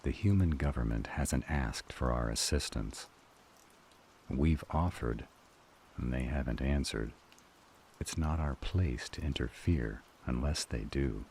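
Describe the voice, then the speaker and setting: A man playing a formal, measured supernatural counsel, cautious and grave, in an audio roleplay drama. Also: extremely low pitch, darkish tone, slight background noise. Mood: firm refusal.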